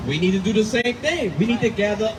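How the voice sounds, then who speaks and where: Forceful high-voiced street preacher. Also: male voice, expressive, fast. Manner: forceful, emphatic, repeats phrases. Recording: distant mic with loud street noise.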